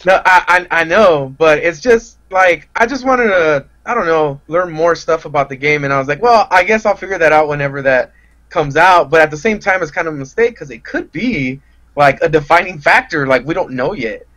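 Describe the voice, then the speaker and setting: A somewhat high-voiced man talks casually as a guest on a gaming podcast. He rambles along hesitantly and puts himself down a little as he thinks out loud. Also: expressive, moderate pace, low-quality recording. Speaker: podcast guest, fighting game player. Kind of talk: casual chat.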